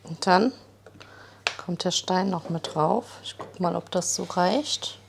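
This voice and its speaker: A woman's voice: on the low side, slow pace, vocal fry, slight background noise. A woman in a kitchen, explaining cooking in a practical, step-by-step way.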